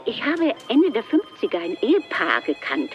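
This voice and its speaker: A woman's voice: a little high, wildly swooping pitch, moderate pace, faint music behind. An actress playing a tipster recalling people she once knew, heard through a telephone filter in a radio drama. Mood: recollecting. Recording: dull phone line, ringing phones behind.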